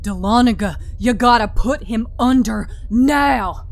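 A woman voicing a stern, commanding widow who shouts urgent orders in an audio story. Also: fairly high pitch, a little slow. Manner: shouting, forceful.

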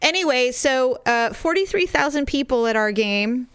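A middle-aged woman, slightly hoarse, telling a story in casual, animated chat and laughing often. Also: high voice, normal speed, dry recording, studio quality. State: hoarse, recovering voice.

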